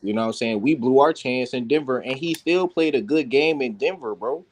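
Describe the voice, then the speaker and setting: A man's voice: medium pitch, a little fast, slight background noise. A man in a casual, heated sports debate, talking insistently with lots of slang and swearing. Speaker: sports talk panelist.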